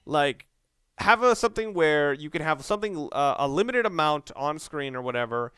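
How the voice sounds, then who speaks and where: A man's voice: fairly high pitch, expressive, moderate pace, sharp tone, a little hiss or hum. A man doing casual, rambling video game commentary, informal and opinionated, with some mild swearing. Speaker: let's play commentator.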